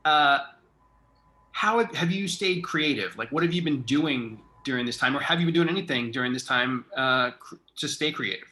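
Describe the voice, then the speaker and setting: A man's voice: medium pitch, normal speed, pushed and bright. A man hosting a casual conversational interview, informal and agreeable. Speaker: interviewer, theatre artistic director.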